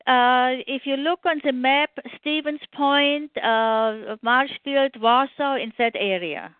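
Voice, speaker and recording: A woman's voice: high-pitched, slow, no echo. An older woman with a German accent, a radio guest telling about her past with many fillers and restarts. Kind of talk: interview conversation. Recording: tinny phone line.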